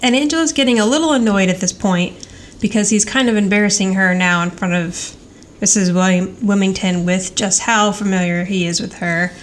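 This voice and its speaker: A woman's voice: medium pitch, steady tone, a little fast, slight background noise. A woman co-hosting a podcast, recapping scenes in detail in a casual, conversational way. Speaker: podcast co-host. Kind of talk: casual episode recap chat.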